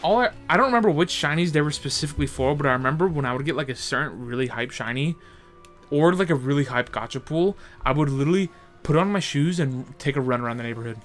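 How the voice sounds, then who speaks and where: A man's voice: on the high side, lively intonation, fast talker, a bit bright, soft background music. A young man co-hosting a podcast in casual chat, rambling and crude, often correcting himself mid-sentence. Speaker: podcast co-host.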